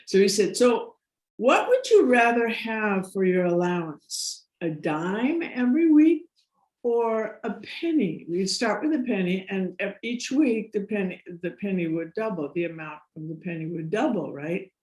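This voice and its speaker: A woman's voice: medium pitch, lively intonation, a little slow, a little mellow. A middle-aged American woman, a podcast guest, talking casually and rambling with frequent laughs and restarts. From United States. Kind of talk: casual interview storytelling. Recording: roomy echo.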